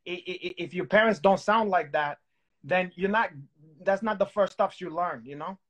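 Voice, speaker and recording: Co-host, man in his thirties, high voice, playful rambling banter, on a video call. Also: moderate pace, fairly bright tone, dull audio. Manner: playful, teasing, rambling with many restarts. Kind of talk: joking casual chat. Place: home room with candlelight.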